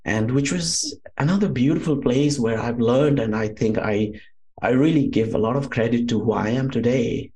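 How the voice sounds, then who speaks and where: A man's voice: mid-range voice, moderate pace, darkish tone. A man from Nepal with a Nepali accent, talking conversationally as an interview guest, rambling and often saying "you know". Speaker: podcast guest, Ayurvedic doctor. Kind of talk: conversational personal storytelling.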